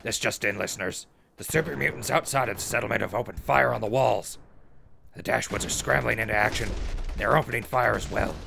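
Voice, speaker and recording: An actor playing a folksy, upbeat radio host and newsreader in a post-apocalyptic settlement drama, chatty and theatrical. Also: male voice, fairly low pitch, brisk, gravelly, slight background noise. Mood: urgent, excited. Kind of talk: radio drama news broadcast.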